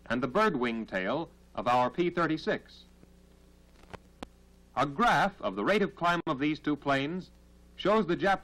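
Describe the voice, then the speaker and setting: An American man narrating a 1940s military training film in a formal, measured, instructional manner, on a period film soundtrack. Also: a little high, fairly bright tone, low-quality recording.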